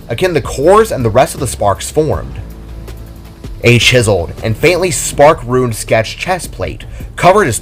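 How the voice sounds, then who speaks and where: A man narrating a scripted audio drama as storyteller. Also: mid-range voice. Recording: background music under the voice.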